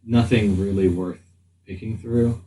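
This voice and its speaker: A man acting as game master, narrating a tabletop game in an improvised, halting way as he thinks aloud. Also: on the low side, steady tone, warm tone. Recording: slightly distant mic, faint room noise.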